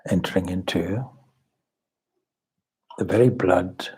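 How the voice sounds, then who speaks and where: Slow, deep, husky man guiding meditation. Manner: calm, measured, instructive with deliberate pauses. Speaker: swami leading a guided meditation. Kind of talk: guided meditation.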